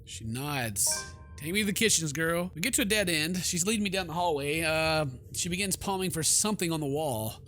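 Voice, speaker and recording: A man's voice: on the high side, animated, brisk, fairly bright tone, faint music behind. Jokey man narrating a horror game. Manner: jokey asides, playful slang. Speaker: streamer playing a horror visual novel. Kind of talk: let's play narration with joking commentary.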